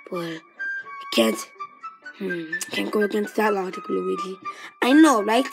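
Gruff skeptical plumber man, put on by a puppeteer, low muttered lines, slow delivery. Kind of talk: homemade plush puppet skit.

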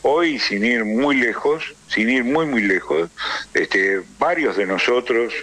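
An Argentine man speaking as a political interview guest, emphatic and rhetorical, building points through deliberate repetition. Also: medium pitch, animated, bright, forceful tone. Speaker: interview guest, trade union leader.

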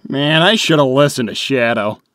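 A man's voice: medium pitch, lively intonation, moderate pace, over music, close to an everyday voice. A man voicing a laid-back, friendly teenage hedgehog hero with a casual, easygoing manner in a cartoon comic dub.

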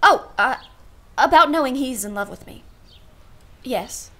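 A woman's voice: sits high, lively intonation, a little slow, a bit bright. A woman playing a wry, world-weary aunt in a radio play, with a dry, arch manner and formal phrasing. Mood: musing, exasperated. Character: wry, world-weary aunt minding her young niece. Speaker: actress.

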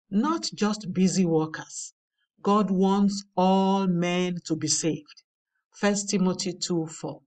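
A woman reading a daily devotional aloud in a measured, earnest way. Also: medium pitch, a little mellow, distant mic. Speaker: devotional reader. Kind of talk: slow scripted devotional reading. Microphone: voice-over over slides.

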